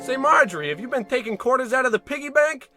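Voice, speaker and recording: An actor playing an excitable, fretful husband, theatrical and folksy, in a 1940s-style radio comedy. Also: male voice, extremely high pitch, fast, soft background music, full character voice. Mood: baffled, insistent.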